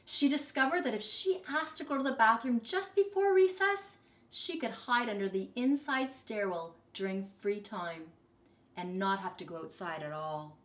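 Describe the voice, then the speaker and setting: A woman reading a children's story aloud expressively, with deliberate, dramatic emphasis. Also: medium pitch, expressive, fairly bright tone, echoey room. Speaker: storybook reader, teacher.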